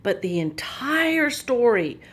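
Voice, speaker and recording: A woman telling a Bible story to young children, pointing out the pictures in a gentle, patient way. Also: fairly high pitch, very animated, slow pace, slightly rough.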